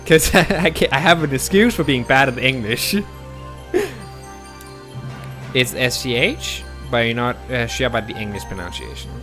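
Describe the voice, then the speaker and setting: Guy streaming, lively up-and-down voice, bright tone, stumbles and restarts a lot, faint music behind. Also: mid-range voice. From Denmark. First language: Danish. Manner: rambling, self-deprecating, stumbles and restarts often. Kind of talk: casual live-stream chat.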